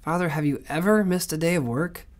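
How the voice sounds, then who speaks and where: A man's voice: on the high side, brisk, natural voice. A man playing an earnest, tentative young son of Santa in a children's audio story.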